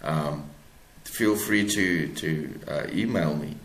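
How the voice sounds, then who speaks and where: A man with a South African accent talks casually as an interview guest. His voice sits low and his delivery is hesitant and halting. The sound is noticeably echoey. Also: a bit bright. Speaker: author being interviewed.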